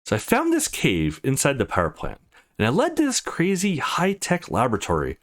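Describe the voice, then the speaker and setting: A man's voice: mid-range voice, huge pitch swings, normal speed, a bit bright. A man voicing an earnest, troubled teenage boy in a visual novel, serious and insistent as he explains himself. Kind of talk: visual novel character reading.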